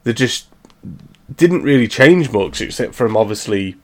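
A man's voice: mid-range voice, lively intonation, measured pace. Man, early thirties, casual co-host, rambling, lots of um and like. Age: 30s. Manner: rambling, many fillers, laughs at his own jokes. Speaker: podcast co-host. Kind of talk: casual chat.

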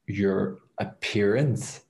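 A man hosting an interview, asking gentle, probing questions. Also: lowish voice, drawn-out words, gravelly. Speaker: interview host. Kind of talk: conversational interview. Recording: echoey room.

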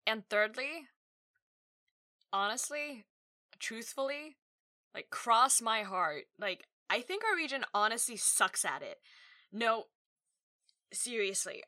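Bright-voiced Australian-born woman podcaster. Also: mid-range voice. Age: 30s. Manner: chatty and candid, with frequent laughs and asides. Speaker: podcast host. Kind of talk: casual solo podcast monologue.

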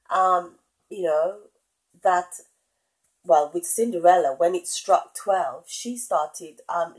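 A middle-aged woman hosting her own podcast, talking casually with a head cold and many pauses and ums. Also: mid-range voice, darkish tone, low-quality recording. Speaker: podcast host. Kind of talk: casual solo podcast talk.

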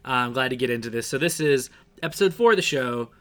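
A man co-hosting a podcast in casual conversation, laid-back and quick to laugh. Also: mid-range voice, lively intonation. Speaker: podcast co-host. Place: sitting close to the host in the same room.

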